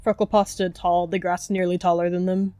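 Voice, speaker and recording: A woman reads scripted story narration for a roleplay. Her voice sits a little low, and music plays quietly behind her. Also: flat delivery, fairly quick, no room sound.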